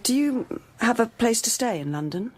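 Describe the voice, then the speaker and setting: An actress playing a concerned, level-headed hospital nurse in an audio horror drama, asking gentle questions. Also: female voice, on the high side, expressive, normal speed, very noisy, close to an everyday voice. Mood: concerned, calm.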